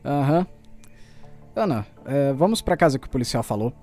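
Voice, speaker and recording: A man's voice: medium pitch, expressive, normal speed, darkish tone, soft background music, lightly acted. A Brazilian man acting in an audio drama as a bold, determined journalist who talks in an insistent, colloquial way. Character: determined male journalist, bold, stubborn investigator.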